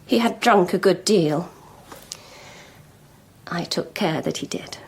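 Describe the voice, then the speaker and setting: An actress playing a proud, lovelorn schoolmistress in a period radio drama, speaking frankly and deliberately with emotional intensity. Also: female voice, fairly low pitch, gravelly, a little hiss or hum. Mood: confessing. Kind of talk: BBC radio drama acting.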